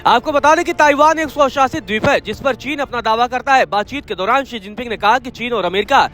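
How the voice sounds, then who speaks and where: High-pitched male news presenter over music. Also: a little fast, fairly bright tone. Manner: formal, explanatory delivery. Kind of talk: scripted news narration. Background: background music.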